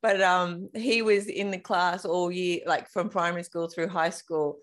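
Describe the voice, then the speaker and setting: Australian woman hosting, lower-pitched voice. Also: fairly even intonation, measured pace. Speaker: podcast host. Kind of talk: casual chatty interviewing.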